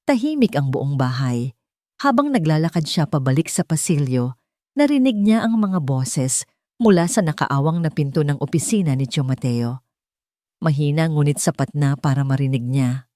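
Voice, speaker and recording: A woman's voice: low-pitched, mellow, dry recording, studio quality. A woman reading a scripted dramatic story as its narrator.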